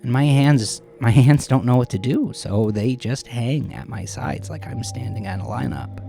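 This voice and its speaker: Male narrator, mid-range voice, first-person audio drama, conversational storytelling, dramatic pauses, music underneath. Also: medium pitch, gravelly, mellow. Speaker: narrator of his own horror story. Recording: music behind the voice. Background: background music.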